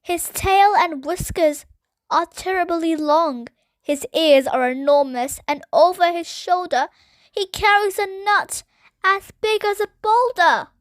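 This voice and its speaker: A woman's voice: very high-pitched, expressive, slow pace, no echo, voice pushed up, heavily acted. A woman voicing a brave, curious young monster girl in a dramatic, exclamatory storybook manner. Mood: awed, alarmed. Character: brave, curious young monster child, female, easily excited.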